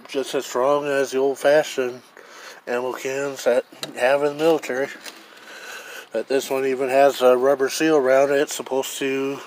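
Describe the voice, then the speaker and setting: Man, mid-pitched, casual rambling reviewer, outdoor phone recording with faint background noise. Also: darkish tone, poor audio. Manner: rambling, plainspoken, thinks aloud. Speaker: gear reviewer. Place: outdoors on a wooden deck.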